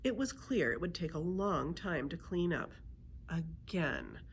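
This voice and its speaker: A woman reads a children's story aloud as a librarian would. Her voice sits a little low and moves with lively, expressive intonation. Faint noise sits behind her. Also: measured pace.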